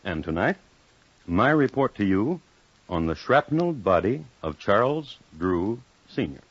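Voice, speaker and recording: A man playing a wry, urbane crime-story host, narrating with droll, deliberate precision in old-time radio style. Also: lowish voice, big pitch swings, slight background noise. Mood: wry, measured. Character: wry, urbane connoisseur of crime telling murder tales. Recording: dull old radio recording. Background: music.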